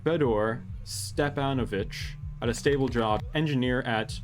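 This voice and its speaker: A man doing low-key, casual game commentary and reading text aloud, sometimes halting over unfamiliar words. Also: over music. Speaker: gaming video host.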